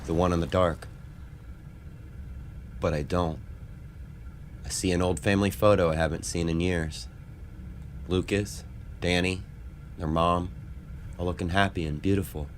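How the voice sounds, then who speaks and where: Man playing a deep, weary, desperate space marine. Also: steady tone, measured pace, long pauses, slight background noise. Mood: wistful, resigned. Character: desperate, gruff retired colonial marine, a weary father. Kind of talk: dramatic comic dub acting.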